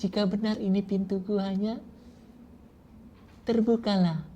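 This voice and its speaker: A man plays a young shepherd who gasps out his hopes in high, excited bursts. He sounds greedy and eager. The recording is dull and lo-fi, with a faint hiss behind him. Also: measured pace. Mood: wondering. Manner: excited, breathless exclamations.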